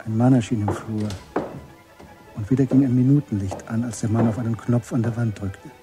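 A man's voice: mid-range voice, fairly even intonation, brisk. Male radio-play narrator over music. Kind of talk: scripted radio drama narration.

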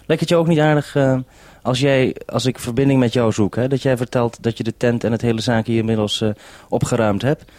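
A man's voice: medium pitch, fairly even intonation, a little fast, few pauses, a little mellow, dry recording. A man, a radio reporter talking informally and a little hesitantly as he coordinates over a link. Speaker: radio reporter and programme maker.

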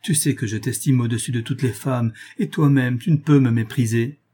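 A man's voice: mid-range voice, fairly even intonation, moderate pace, dark tone, lightly acted. A man reading a novel aloud as a guilt-ridden young lover, passionate and pleading in an exclamatory way. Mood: fervent protest.

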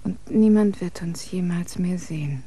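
An actress in a German radio drama playing a devoted, possessive, melancholy young bride with intense theatrical delivery. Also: female voice, fairly low pitch, unhurried, mellow, husky, rough recording. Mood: hushed, morbid longing.

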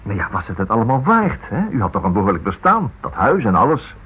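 A polite, probing writer in a Dutch radio drama. His lowish, husky voice swings in pitch as he hesitates and repeats himself. The old recording is muffled and narrow-band. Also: big pitch swings, fast talker, full character voice. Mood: probing, hesitant. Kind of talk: Dutch radio play acting.

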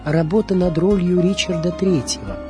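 A woman's voice: on the low side, normal speed. Woman narrating a radio documentary over music. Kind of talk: scripted documentary narration about film and theatre.

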